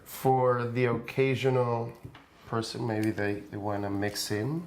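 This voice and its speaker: A man, an artist guest, chatting in a relaxed, easygoing way. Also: medium pitch, measured pace, a little hiss or hum. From Dominican heritage. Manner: relaxed, easygoing, laughs readily. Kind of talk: casual interview chat.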